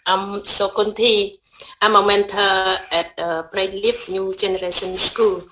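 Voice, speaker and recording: Mentor lady on a shaky connection, halting, faint hiss behind. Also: medium pitch, level tone. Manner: apologetic, halting. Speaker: school mentor. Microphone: video call over unstable internet.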